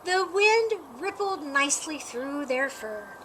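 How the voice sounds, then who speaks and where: A woman reading a children's story aloud as an expressive narrator. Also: high voice, slow, background noise. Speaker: storybook reader.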